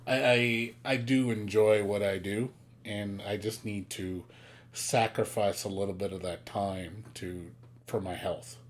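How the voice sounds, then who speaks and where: A man's voice: fairly low pitch, steady tone, a little slow. A man in his late thirties, talking to viewers on his live stream in a candid, rambling way. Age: late 30s. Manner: candid, rambling, self-critical.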